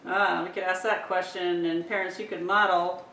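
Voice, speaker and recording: A woman's voice: flat delivery, normal speed, fairly bright tone. A woman instructor talking parents through a communication-device demonstration, explaining each step aloud as she goes. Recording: roomy echo, distant mic, rumbly noise.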